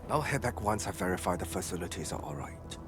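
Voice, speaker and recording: A man's voice: deep voice, lively intonation, quick pace. A man voicing a grim, vengeful but loyal worker in video game story dialogue. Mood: grim resolve. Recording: music behind the voice.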